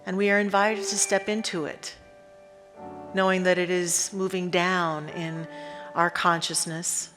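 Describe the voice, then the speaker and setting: A woman leading a guided meditation in a reverent, unhurried manner, pausing deliberately between short phrases. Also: on the low side, flat delivery, fairly bright tone. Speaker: spiritual leader guiding a meditation. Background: instrumental music.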